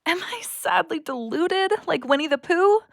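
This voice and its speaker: A woman's voice: very high-pitched, animated, moderate pace, vocal fry, no echo, clean studio sound, heavily acted. A young woman playing a restless, anxious daughter who longs for adventure, acting earnestly in an audio play. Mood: anxious, yearning. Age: young adult.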